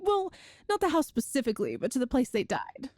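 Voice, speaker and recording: A woman's voice: high voice, wildly swooping pitch, brisk, no room sound, clean studio sound, full character voice. A woman playing an affectionate, playful girlfriend from a ghost family in an ASMR audio roleplay, chatty and tender. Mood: affectionate, explaining. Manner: chatty and tender, with soft laughs and sighs.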